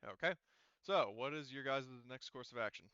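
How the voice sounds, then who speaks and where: A man's voice: mid-range voice, expressive, moderate pace, sharp tone, clear, resonant tone, dry recording, lo-fi sound. A man running a tabletop roleplaying game as game master, talking casually and thinking aloud as he narrates and explains rules.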